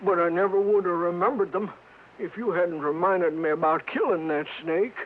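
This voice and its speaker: Actor playing a tinny high-voiced old cowhand. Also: male voice, moderate pace, lightly acted. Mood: folksy, pleased. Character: folksy old western ranch hand, excitable and loyal. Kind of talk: 1950s radio drama acting. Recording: tinny, noisy old radio broadcast.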